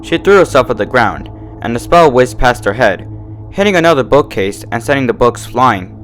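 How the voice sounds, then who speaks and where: A man's voice: mid-range voice, moderate pace, faint music behind, poor audio. A man narrating a story in a dramatic, scripted reading style. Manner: animated, dramatic.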